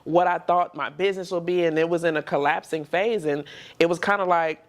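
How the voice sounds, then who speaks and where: A woman's voice: on the low side, fairly even intonation, brisk. Reflective woman in her thirties. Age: 30s. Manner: reflective, conversational, frequent "you know what I'm saying". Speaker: podcast guest, entrepreneur. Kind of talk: casual interview conversation.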